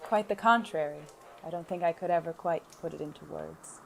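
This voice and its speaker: An actor as Vincent Dupont, suave gentleman criminal, music underneath. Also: medium pitch, fairly quick, creaky, natural voice, low-quality recording. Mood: tender, teasing. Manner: formal, droll, flirtatious wording. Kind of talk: noir audio drama dialogue.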